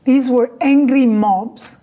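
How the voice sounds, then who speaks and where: A woman from Lebanon who speaks English as a second language, her first being Arabic, talking forcefully and indignantly in an interview, stressing her points through lists. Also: high-pitched, fairly even intonation, takes it slowly. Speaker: guest. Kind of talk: interview conversation.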